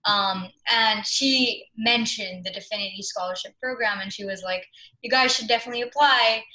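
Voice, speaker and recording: A woman's voice: fairly high pitch, normal speed, bright, forceful tone, low-quality recording. A young woman in her twenties, a research fellow, answering interview questions in a chatty way, often saying "like" and "um". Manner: chatty, with frequent "like" and "um".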